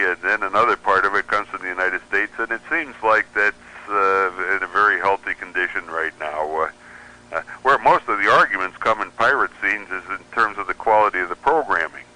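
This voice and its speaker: A man's voice: low-pitched, moderate pace, sharp tone, rough recording. A man chatting and explaining as a guest in a casual radio interview, with a wry manner.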